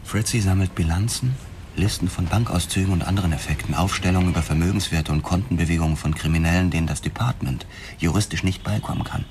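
An actor playing a driven, curt police detective in a German radio drama, speaking in a clipped, insistent way. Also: male voice, low-pitched, fast, lightly acted. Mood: matter-of-fact. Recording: clear background noise.

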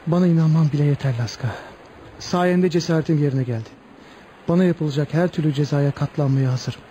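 Mellow, earnest young lover played by a man. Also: on the high side, brisk, muffled recording, a little hiss or hum, lightly acted. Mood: tender, resolute. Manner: solemn, heartfelt. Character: earnest, brave young lover, wrongly condemned prisoner. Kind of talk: radio theatre acting.

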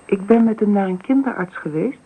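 An actress playing a gentle, slightly anxious young mother in a radio play, speaking with care. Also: medium pitch, a little fast, breathy edge. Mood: careful, earnest. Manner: careful, hesitant, proud of her child. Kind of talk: Dutch radio play acting. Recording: faintly noisy old radio recording.

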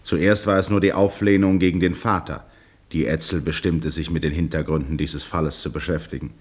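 Deep-voiced man narrating formally. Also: steady tone. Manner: measured, formal storytelling. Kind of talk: scripted narration for a 1960 radio play.

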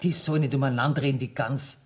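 A woman's voice: very deep voice, steady tone, a little fast, darkish tone. An actress with a Bavarian accent playing a bossy, calculating businesswoman with money in a radio play. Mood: suspicious, threatening. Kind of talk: radio play acting in dialect.

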